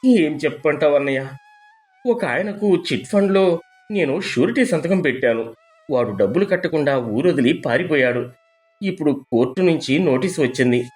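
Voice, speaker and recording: A man's voice: on the high side, expressive, moderate pace, darkish tone, faint music behind, close to an everyday voice. A man playing a scheming young husband who puts on desperate, pleading ruin in a dramatized moral story. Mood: wailing, desperate.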